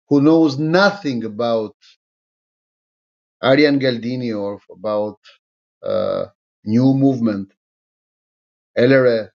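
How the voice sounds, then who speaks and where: A middle-aged man from Albania, a native Albanian speaker with an Albanian accent, addressing an online audience informally in a halting, deliberate way, repeating phrases for emphasis. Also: medium pitch, darkish tone. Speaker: political movement leader. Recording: slightly dull, lo-fi sound.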